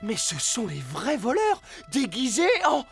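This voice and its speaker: Woman doing a bossy cartoon fox guy, high voice swooping all over, music behind. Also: wildly swooping pitch, unhurried, vocal fry, exaggerated voice. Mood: alarmed. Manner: exclamatory, exasperated, scolding. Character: bossy, excitable male fox schemer. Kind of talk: French cartoon dub acting.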